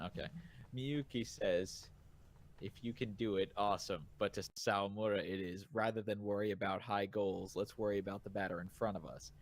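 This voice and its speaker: A man co-hosting a casual podcast, reading listener comments aloud haltingly and rephrasing as he goes. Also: lowish voice. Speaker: podcast co-host reviewing manga.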